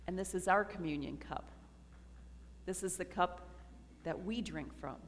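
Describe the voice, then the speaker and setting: Slow-speaking woman preaching in a hall. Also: on the low side. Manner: slow, reflective, earnest. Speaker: pastor. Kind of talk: communion sermon. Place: church sanctuary. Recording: reverberant church hall.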